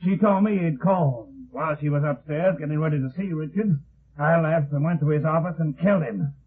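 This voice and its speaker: Through a narrow, muffled vintage radio recording comes a vengeful older man, an unhinged killer. His husky, raised voice rants bitterly in the melodramatic manner of 1950s radio thrillers. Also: on the high side, a little fast. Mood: sly confessing. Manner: ranting, melodramatic. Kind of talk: 1950s radio drama acting.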